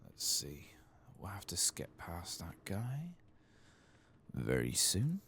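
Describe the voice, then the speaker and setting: A soft-spoken man giving ASMR commentary on a video game, hushed and often slipping into whispers. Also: low voice, sing-song, slow, slight background noise.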